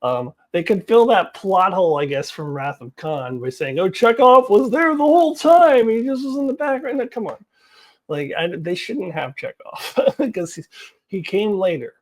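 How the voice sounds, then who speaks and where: A woman's voice: medium pitch, lively intonation, moderate pace. A woman giving casual, unscripted commentary as a video host, speaking in an emphatic, opinionated way.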